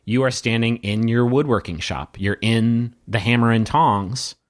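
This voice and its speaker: A man with a medium-pitched voice acts as game master for a tabletop roleplaying game. He improvises his narration, addressing the player directly and pausing to search for the next words.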